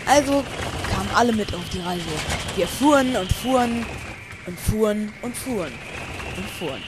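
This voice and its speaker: A man's voice: sits high, expressive, moderate pace, heavy background noise. A young man narrating an amateur parody audio drama as a storyteller.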